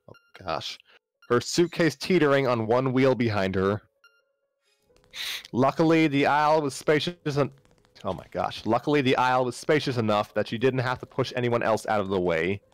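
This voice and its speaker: A man's voice: medium pitch, no room sound. A man narrating a story live on a stream, often stumbling and repeating phrases as he reads aloud. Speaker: narrator, livestream reader. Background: background music.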